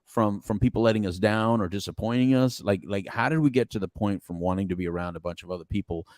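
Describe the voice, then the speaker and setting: A man's voice: fairly low pitch, fast, no room sound, clean studio sound. Spanish-speaking man telling stories. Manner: animated storytelling, laughs often, many restarts. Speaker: podcast co-host. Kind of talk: casual conversation.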